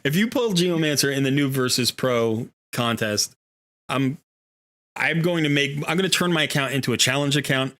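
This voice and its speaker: A man's voice: mid-range voice, expressive, normal speed, a bit bright. A man hosting a gaming livestream, chatting casually in a jokey, talkative way. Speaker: livestream host.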